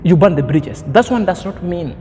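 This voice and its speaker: Man, 30s, high-pitched vlogger with a preachy, emphatic delivery and lofty vocabulary, recorded in a reverberant room with a low rumble. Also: expressive, normal speed, warm tone. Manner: preachy, emphatic, fond of grand words. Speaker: commentary vlogger. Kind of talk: opinion commentary monologue.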